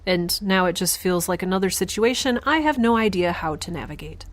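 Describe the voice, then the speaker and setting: A woman's voice: lowish voice, lively intonation, a little fast, natural voice. A woman playing a weary, overwhelmed young scientist in a science fiction audio drama, speaking a hesitant, wry and confiding diary monologue. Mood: weary, brooding. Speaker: narrator and lead of an audio drama.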